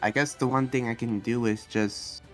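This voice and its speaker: Music plays behind a man acting as an earnest demon slayer who guards his town. He explains himself in a halting, rambling way, with an average-pitched voice, as in an improvised video game roleplay. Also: steady tone, a bit bright, close to an everyday voice. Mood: earnest, musing. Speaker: roleplay player. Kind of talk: improvised Minecraft anime roleplay dialogue. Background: background music.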